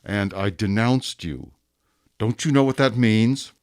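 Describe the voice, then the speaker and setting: A man's voice: fairly low pitch, slow, smooth, no room sound, clean studio sound. A man playing a rigid, bitter police inspector in a period audio drama, speaking in a clipped, emphatic, formal way. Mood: agitated, insistent. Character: rigid, self-punishing male police inspector, stern and bitter. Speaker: actor. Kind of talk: radio-style period drama acting.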